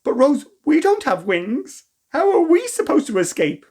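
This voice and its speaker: Man putting on high-pitched, excitable little girls. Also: unhurried, heavily acted. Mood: worried. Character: frightened, urgent young girls hiding from a tiger.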